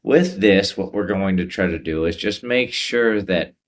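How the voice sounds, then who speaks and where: A man presents a software tutorial, explaining each step in an informal way. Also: deep voice, measured pace.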